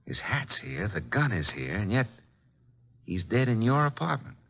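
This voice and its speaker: An actor playing a streetwise, watchful detective in an old-time radio drama, talking casually in clipped lines on a dull recording. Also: male voice, mid-range voice, sing-song, normal speed, vocal fry. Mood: puzzling it out. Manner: casual, clipped, thinking aloud. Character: streetwise, watchful male private detective's legman.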